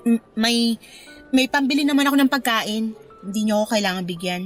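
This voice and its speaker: A woman's voice: fairly high pitch, steady tone, moderate pace, natural voice. A woman playing a curt, resentful young college student in a radio drama, with cold, blunt politeness. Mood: guarded. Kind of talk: radio drama acting in Filipino. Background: music bed.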